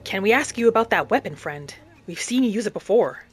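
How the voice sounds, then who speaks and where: A woman's voice: medium pitch, expressive, fairly quick, breathy edge, faint music behind. A woman giving in-character commentary on a roleplay game, musing and asking questions as she goes. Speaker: gamer, host of roleplay playthrough.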